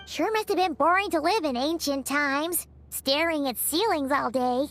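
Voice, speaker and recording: A woman voicing a small, cheerful fairy companion in a video game, with a squeaky childlike voice and bubbly manner. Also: normal speed, a bit bright. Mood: musing. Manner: refers to self in third person, bubbly.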